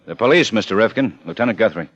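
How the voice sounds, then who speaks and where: An actor playing a shrewd, unhurried American police lieutenant in 1950s radio crime drama, dry and probing. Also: male voice, fairly low pitch, expressive, fairly bright tone. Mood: businesslike, firm. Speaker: police detective. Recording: muffled old radio broadcast, music behind.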